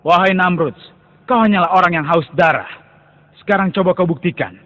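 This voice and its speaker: A man's voice: sits high, lightly acted. A man voicing a defiant young prophet. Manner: fast and defiant. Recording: distant echo, muffled.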